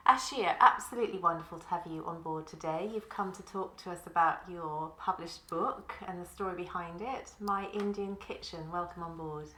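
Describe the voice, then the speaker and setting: Host: woman, lowish voice, enthusiastic, echoey room with faint background noise. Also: normal speed, hardly any pauses, pushed and bright. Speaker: podcast co-host. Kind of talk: interview questions.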